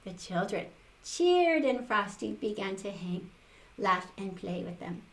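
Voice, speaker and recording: Animated older woman reading a storybook. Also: medium pitch, a little slow. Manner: expressive, exclaiming, chuckling asides. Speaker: storytime reader for children. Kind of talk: animated picture-book read-aloud with asides.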